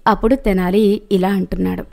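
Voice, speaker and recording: A woman's voice: mid-range voice, steady tone, a little fast, vocal fry, warm tone. A woman reading a scripted moral story as its narrator.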